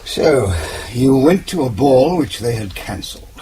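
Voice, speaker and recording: An actor playing a composed, authoritative intelligence chief in a radio drama, measured and probing. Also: male voice, medium pitch, lively intonation, noisy background, close to an everyday voice.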